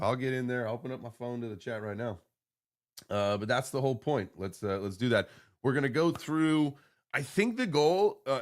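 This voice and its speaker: An American man in his early forties hosting a livestream with casual, rambling, informal and sometimes sweary chat. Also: mid-range voice. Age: early 40s. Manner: laid-back, informal, sweary. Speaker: livestream show host.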